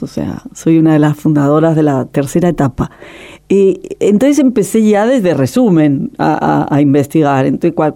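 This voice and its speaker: Woman, middle-aged, documentary filmmaker, very mellow dark timbre, emotional, repeats words. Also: low voice. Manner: passionate, emotional, many restarts. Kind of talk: interview, personal storytelling.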